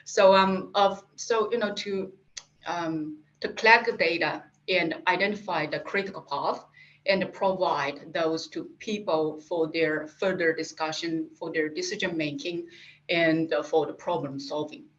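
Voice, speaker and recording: Interview guest: middle-aged woman, Japanese accent, low voice, halting delivery, dull lo-fi recording. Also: steady tone, fairly bright tone. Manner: halting, many fillers and restarts. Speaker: guest, industry specialist. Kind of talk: interview answers. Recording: dull lo-fi sound.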